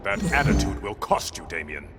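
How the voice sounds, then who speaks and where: A man's voice: low-pitched, huge pitch swings, moderate pace, creaky, loud music behind the voice, full character voice. An actor playing a proud, stern undersea warrior king in a video game, with a regal, defiant, formal way of speaking. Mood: regal scorn.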